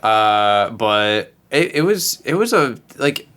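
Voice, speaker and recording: A man's voice: fairly low pitch, sing-song, slow pace, a bit bright. Rambling man in casual discussion. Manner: rambling, filler-heavy, repeats phrases. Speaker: guest panelist. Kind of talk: casual group discussion, joking.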